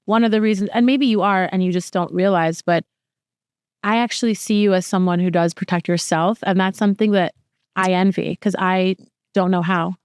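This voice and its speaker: A woman's voice: medium pitch, steady tone, moderate pace, smooth, dry recording, studio quality. A woman in her thirties hosting a podcast, talking candidly and bluntly, swearing freely and laughing often. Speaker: podcast host. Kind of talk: candid casual chat.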